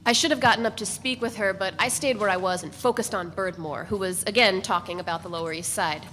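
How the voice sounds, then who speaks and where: A woman's voice: medium pitch, fast, fairly bright tone. A woman giving a literary narration as part of a dramatic reading of a novel. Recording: noisy, reverberant hall recording.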